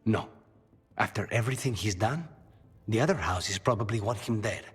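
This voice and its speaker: An actor playing a brooding, dry-witted assassin haunted by a demon in a video game, speaking in measured, wry, clipped phrases. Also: male voice, on the low side, lively intonation, husky. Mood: grim.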